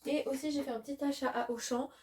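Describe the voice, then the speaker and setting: A woman's voice: high voice, steady tone, quick pace, darkish tone, slight background noise. A woman in her twenties chatting casually to camera at home in a vlog, gushing and using many fillers. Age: 20s. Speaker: vlogger and design student.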